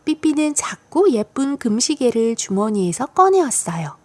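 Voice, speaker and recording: A woman's voice: on the high side, very animated, normal speed, a little mellow. A woman reading a scripted children's story as an audiobook narrator.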